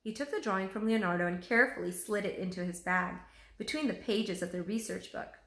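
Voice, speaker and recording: A woman reading a children's storybook aloud with expressive narration. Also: medium pitch, a little fast. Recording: roomy, echoing room sound.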